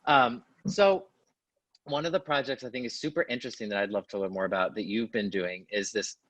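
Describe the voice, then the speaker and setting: A man's voice: lowish voice, a little fast, fairly bright tone, no room sound. A man hosting a casual interview, asking easygoing questions hedged with "like" and "sort of". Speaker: host, orchestra flutist. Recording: dull dry lo-fi audio.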